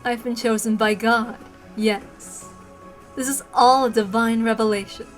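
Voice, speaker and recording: A woman voicing a delirious, self-deluded villainess in a visual novel, coy, exalted and deliberate. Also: fairly high pitch, fairly even intonation, faint music behind, natural voice.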